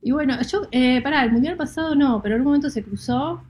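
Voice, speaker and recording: A woman's voice: high-pitched, quick pace, darkish tone, faint music behind. A middle-aged woman from Rosario with an Argentine accent, chatting casually as a guest over a computer video call. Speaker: guest caller, interior designer. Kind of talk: casual chat. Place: mezzanine workspace indoors.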